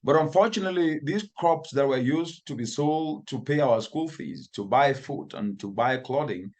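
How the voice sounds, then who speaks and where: A man with a Cameroonian accent speaks as an interviewed expert at a middling pitch. He tells things earnestly, leaning on repeated phrases to build emphasis. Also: animated, moderate pace, a little mellow. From northwestern Cameroon. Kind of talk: earnest interview answers, storytelling.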